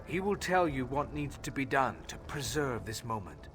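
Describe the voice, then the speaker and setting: A solemn elven spirit acted by a man speaks formally in a medium-pitched voice. The delivery is grave game dialogue, with music clearly heard underneath. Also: big pitch swings, normal speed, natural voice. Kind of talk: video game quest dialogue.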